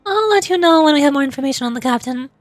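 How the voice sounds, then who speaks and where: Woman streamer, high voice, game dialogue read-along, faint music behind. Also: animated, rapid-fire. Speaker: streamer voicing game characters. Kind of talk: voice acting game lines on stream.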